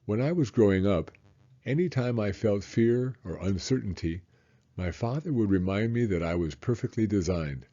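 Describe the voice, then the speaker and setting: A man's voice: fairly low pitch, expressive, moderate pace, mellow, highs cut off. A man reading aloud as a children's storybook narrator, in a scripted read-aloud style.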